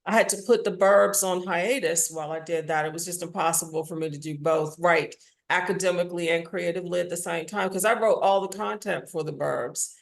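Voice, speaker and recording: A woman with a fairly low voice and pronounced vocal fry, chatting as an interview guest. She tells her stories frankly and informally, in a candid conversational style. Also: steady tone, a little fast. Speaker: interview guest, theatre maker and audio drama writer.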